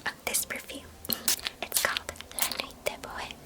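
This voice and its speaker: A woman voicing a chatty, mischievous and affectionate young girl cousin in an ASMR roleplay, in a breathy, intimate whisper close to the mic. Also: moderate pace, natural voice. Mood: confiding, wistful. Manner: gentle, chatty, storybook-like old-fashioned phrasing. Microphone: close microphone.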